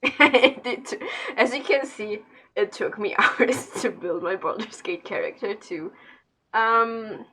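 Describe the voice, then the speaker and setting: A woman's voice: on the high side, takes it slowly, sharp tone, husky. A woman doing casual, informal gameplay commentary on a livestream, with a self-deprecating streak. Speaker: game streamer. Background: game dialogue and music.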